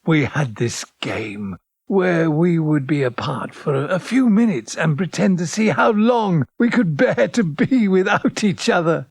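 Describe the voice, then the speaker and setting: Wistful man of eighty, audio drama narrator: lightish pitch, slow, reflective, dry sound. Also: lively intonation, vocal fry, husky, no echo, full character voice. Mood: fond reminiscing. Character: nostalgic old man of eighty recalling his great love. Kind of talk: reminiscing first-person audio drama narration.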